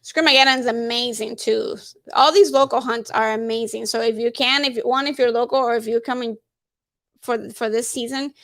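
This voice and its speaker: A woman's voice: fairly high pitch, steady tone, normal speed, a bit bright. A woman co-hosting a casual livestream chat, often echoing and finishing her partner's phrases. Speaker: co-host.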